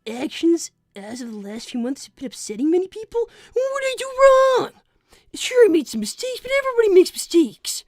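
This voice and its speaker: A woman's voice: very high voice, no echo, clean studio sound. A woman voicing an anxious, earnest young boy fox who stammers defensively on a character livestream. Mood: flustered, defensive. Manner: stammering, flustered. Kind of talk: improvised character livestream with donation reading.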